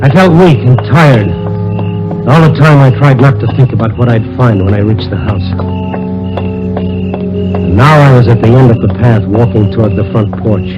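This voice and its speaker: An American actor playing a wry, world-weary private detective who narrates in a hardboiled 1940s radio drama style. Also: male voice, medium pitch, moderate pace, long pauses, muffled recording, lightly acted. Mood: tense narration. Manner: wisecracking, hardboiled. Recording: old radio recording with music.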